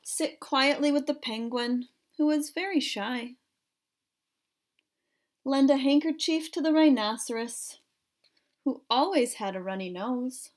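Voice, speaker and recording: High-pitched woman reading a storybook. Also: unhurried, pauses often. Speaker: storybook reader for children. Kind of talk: expressive read-aloud narration of a picture book.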